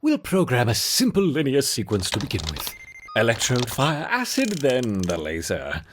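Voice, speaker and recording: A man playing a gleeful, obsessive mad scientist in a video game, with a husky voice and a theatrical, coaxing, menacing delivery. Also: mid-range voice, wildly swooping pitch, normal speed, noisy background. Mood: scheming. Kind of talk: video game character monologue.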